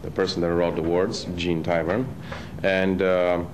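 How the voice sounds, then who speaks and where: A man in his thirties from Romania with a Romanian accent, a professional opera singer answering interview questions hesitantly with many pauses. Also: sits low, level tone, background noise. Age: 30s. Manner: hesitant, many filler pauses, light laughs. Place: opera rehearsal at a music school. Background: loud music from the rehearsal.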